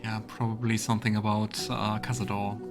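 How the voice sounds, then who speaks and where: Male let's player, lowish voice, casual and musing, game music clearly underneath. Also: flat delivery, a little fast. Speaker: let's play host. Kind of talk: casual gaming commentary and voiced dialogue reading.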